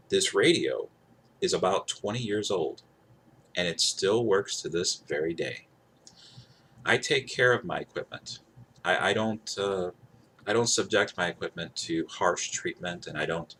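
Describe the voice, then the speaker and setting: A man with a low voice talks casually to camera as he demonstrates a device. He rambles, circling back to the same points with emphasis. Some noise sits behind his voice. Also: sharp tone. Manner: repeats himself, rambling, emphatic. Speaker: gadget reviewer. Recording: clear background noise.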